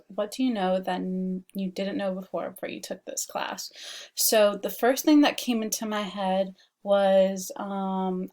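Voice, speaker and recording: A woman's voice: mid-range voice, a little mellow. A young woman from Washington DC giving a casual recorded class presentation as a student, chatty and full of "like" and "um". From Washington DC, United States. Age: young adult. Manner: chatty, frequent "like" and "um" fillers. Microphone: laptop webcam mic.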